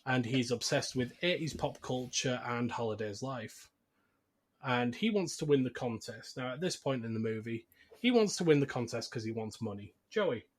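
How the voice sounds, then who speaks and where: A man's voice: mid-range voice, lively intonation, moderate pace. A man hosting a podcast, explaining a film's plot in a casual, conversational way. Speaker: podcast host.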